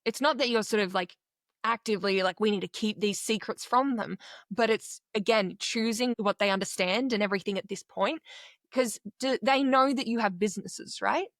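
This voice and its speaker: A woman's voice: medium pitch, moderate pace, dry recording, clean studio sound. A woman hosting a podcast, asking loose, supportive questions with lots of fillers. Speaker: podcast host. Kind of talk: casual interview questions.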